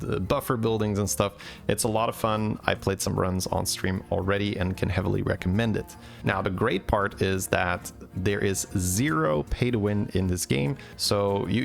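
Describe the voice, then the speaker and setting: Gravelly man, casual commentary. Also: lowish voice. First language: Dutch. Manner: relaxed, chatty, answers chat questions. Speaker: game commentator.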